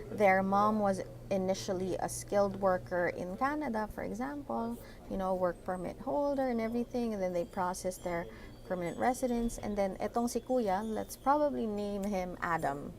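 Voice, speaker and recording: A woman with a Filipino accent hosting a live Q&A, explaining a topic in a mix of English and Tagalog with lots of fillers and checking "right?". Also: mid-range voice. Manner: code-switches between English and Tagalog, many fillers and rhetorical "right?". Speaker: immigration consultant hosting a live Q&A. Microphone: computer setup at a desk.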